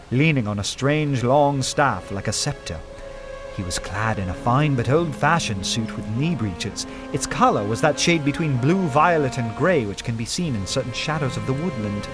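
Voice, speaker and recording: An actor playing an earnest, awestruck detective who narrates a radio drama in an intense, dramatic manner. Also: male voice, mid-range voice, close to an everyday voice. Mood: storytelling. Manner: intense, dramatic, hushed at times.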